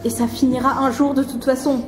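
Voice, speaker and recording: A woman acting a calm, resolute young condemned sister in an audio drama, composed and earnest. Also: high-pitched, brisk, natural voice. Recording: roomy echo under loud music.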